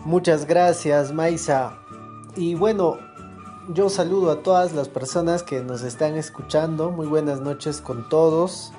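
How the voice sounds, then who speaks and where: Higher-voiced Peruvian man, mellow, hosting. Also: fairly even intonation, unhurried, soft background music. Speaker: podcast host. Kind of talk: conversational podcast introduction.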